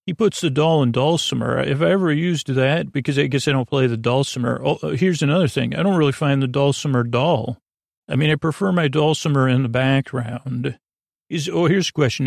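A man with a creaky, gravelly voice at an average pitch, recorded very dry. He hosts a sleep podcast as a goofy, meandering monologue, often stopping mid-thought and doubling back on himself. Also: dry recording. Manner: meandering, halting, self-interrupting and digressive. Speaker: podcast host.

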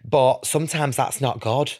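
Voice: medium pitch, unhurried, darkish tone. A person chatting casually as a podcast guest, talkative and informal, often dropping "like" into sentences. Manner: informal, talkative, heavy use of "like". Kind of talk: casual chat.

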